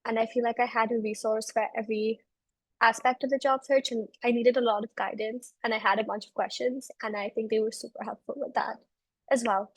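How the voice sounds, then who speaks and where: A woman's voice: a little high, level tone, a little fast, no room sound, low-quality recording. A woman in her twenties from Belgium, explaining things conversationally as an interview guest. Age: 20s. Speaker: interview guest, research analyst.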